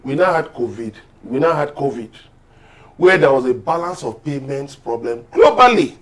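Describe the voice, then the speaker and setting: A man with a Nigerian accent speaking as a guest analyst in a TV interview, emphatic and repeating points for stress. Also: medium pitch. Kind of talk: economic and political commentary in a TV interview.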